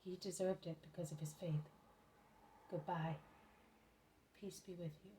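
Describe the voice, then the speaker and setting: Woman reading a glad guy calling out, mellow lowish voice. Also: steady tone, unhurried, slight background noise, close to an everyday voice, rough recording. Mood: joyful. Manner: exclaiming. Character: joyful male friend of a leper.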